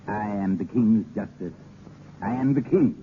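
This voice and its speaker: An actor playing a scheming, amused, commanding king in 1930s radio drama, with theatrical, deliberate delivery. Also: on the low side, close to an everyday voice. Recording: noisy, tinny 1930s radio recording.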